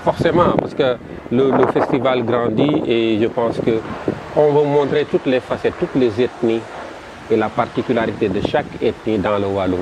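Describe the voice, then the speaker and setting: A man with a Senegalese French accent, a festival promoter describing his event in an interview, halting and repeating words as he goes. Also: medium pitch. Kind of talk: interview answers. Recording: noisy background.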